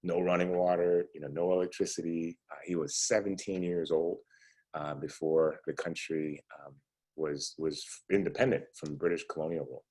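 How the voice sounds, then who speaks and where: Man, forties, very low husky voice; relaxed motivational speaker. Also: normal speed. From United States. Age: 40s. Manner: conversational, lots of "you know" and "right?". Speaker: entrepreneur guest speaker. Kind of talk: casual talk to students.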